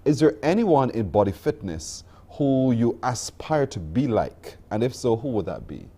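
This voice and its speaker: A man hosts a morning television interview in an easy, chatty way. His voice swings up and down with lively intonation, and his long questions wander as he laughs along. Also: mid-range voice, normal speed. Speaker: morning TV show host. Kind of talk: broadcast interview.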